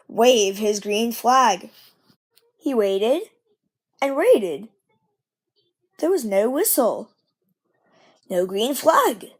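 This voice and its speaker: High-pitched woman reading a storybook slowly. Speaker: storybook reader. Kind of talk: storybook narration read aloud.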